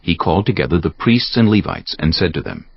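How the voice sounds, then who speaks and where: A man with a gravelly voice giving measured, solemn narration for a dramatized audio Bible. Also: deep voice, expressive, dry recording. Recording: dull audio with soft music behind. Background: soft background music.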